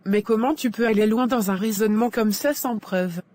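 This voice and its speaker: A woman playing an earnest, discreet wife in a cartoon moral drama, speaking in a measured way. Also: a little high, darkish tone, lightly acted. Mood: guarded. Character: earnest, discreet married woman, anxious and sincere. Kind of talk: animated moral drama dialogue. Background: music.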